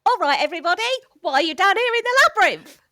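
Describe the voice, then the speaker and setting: Woman playing a high-voiced hapless office temp. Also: animated, quick pace, sharp tone, no echo, studio quality, full character voice. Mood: cheery. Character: hapless female office temp reading silly questions aloud. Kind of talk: improvised comedy audio drama.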